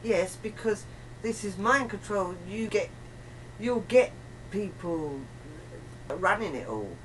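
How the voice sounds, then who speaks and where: A woman's voice: medium pitch, expressive, takes it slowly. A woman chatting casually in a rambling, emphatic, colloquial way, often acting out bits of reported dialogue. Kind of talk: casual chat. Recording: distant mic, faint room noise.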